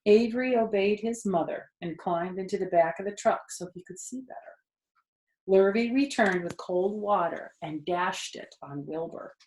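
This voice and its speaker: A woman's voice: mid-range voice, moderate pace, a little mellow. A woman reading a children's story aloud expressively, with conversational asides to her listeners. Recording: roomy echo.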